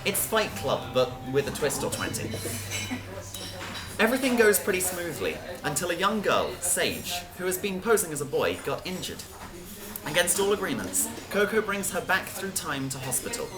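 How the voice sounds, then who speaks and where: A woman's voice: lowish voice, very animated, normal speed. A woman reading a scripted story aloud as a narrator, with music behind her. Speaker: reader.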